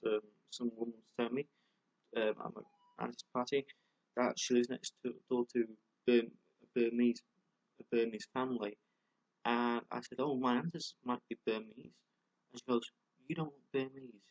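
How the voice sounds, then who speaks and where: A man's voice: medium pitch, steady tone, normal speed, dull audio. A man vlogging a rambling, heated monologue, full of fillers, stumbles and repeated words, with plenty of swearing. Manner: swears a lot and gets heated. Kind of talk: ranting monologue to camera.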